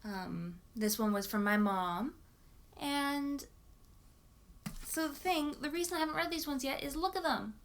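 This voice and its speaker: A young woman talking casually to camera as a book vlogger, in an expressive, informal way and often correcting herself. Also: high voice, measured pace, a little hiss or hum. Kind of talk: casual chat to camera about books.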